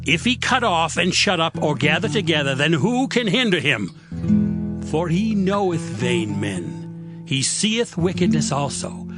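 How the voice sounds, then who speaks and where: An actor playing a stern, self-assured accuser in a dramatized scripture reading, admonishing solemnly with rhetorical questions and exhortation. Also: male voice, fairly high pitch, big pitch swings, measured pace. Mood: challenging. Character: Zophar, stern self-assured accuser preaching repentance to a sufferer. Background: guitar music.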